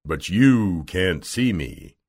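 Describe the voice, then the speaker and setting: A man voicing a great and terrible wizard, imperious, pompous and drawn-out, in a children's storybook. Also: low voice, big pitch swings, darkish tone, husky, voice pushed down, full character voice. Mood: imposing. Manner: slow, drawn-out, pompous delivery.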